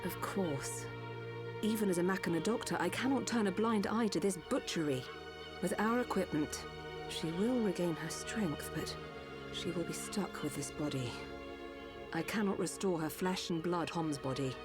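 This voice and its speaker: An actress playing a calm, precise female Machina doctor explaining a treatment. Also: lowish voice, normal speed, close to an everyday voice. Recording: game cutscene with music.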